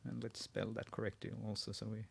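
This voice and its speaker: A man with a Swedish accent hosting a live screen-share tutorial, thinking aloud as he types, often pausing and restarting his sentences. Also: on the low side, level tone, vocal fry, a little hiss or hum. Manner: thinking aloud while typing, frequent restarts. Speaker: host.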